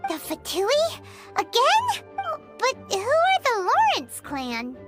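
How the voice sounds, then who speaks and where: An actress voicing a tiny, excitable girl fairy companion in a video game, with a squeaky, childlike cartoon voice. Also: slow, loud music behind the voice, heavily acted. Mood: surprised, curious. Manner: excitable, exclamatory.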